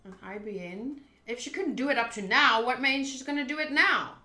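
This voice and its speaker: A young woman streaming a game, talking to chat in a playful, animated, jokey way. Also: fairly high pitch, brisk, reverberant. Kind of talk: casual livestream reaction.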